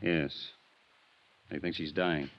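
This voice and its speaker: Tough old-radio police detective, really deep voice, gruff n terse, kinda dry, muffled sound. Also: male voice, steady tone, takes it slowly, heavy background noise, exaggerated voice. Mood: dry, weary. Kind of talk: 1950s radio drama acting. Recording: muffled vintage radio recording.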